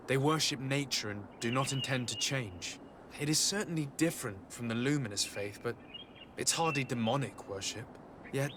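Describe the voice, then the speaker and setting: A polite fantasy-game envoy, played by a man, composed and formal in manner. He has a medium-pitched male voice, heard over clear background ambience. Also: background noise, lightly acted. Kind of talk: video game dialogue.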